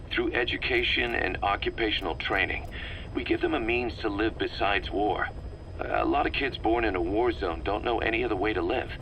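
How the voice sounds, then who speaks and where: A male actor voicing a stern, pragmatic military subcommander in a video game, speaking in a brisk, matter-of-fact, clipped way on a taped briefing. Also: on the low side, bright, forceful tone, poor audio. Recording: cassette recording with background noise.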